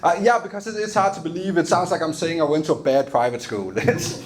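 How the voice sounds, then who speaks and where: High-voiced Danish comedian on stage. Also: male voice, lively intonation, fast talker, a little hiss or hum. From Denmark. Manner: loose, chatty, laughing at his own jokes. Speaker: stand-up comedian. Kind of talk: stand-up comedy set.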